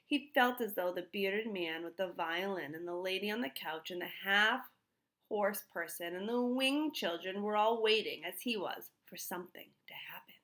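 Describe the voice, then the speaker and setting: A woman narrates a children's storybook with expression. Her voice is bright and sits at a middling pitch. She reads as a storyteller addressing young listeners. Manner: pauses to put questions to young listeners. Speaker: storyteller reading a children's book aloud. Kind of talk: expressive read-aloud narration.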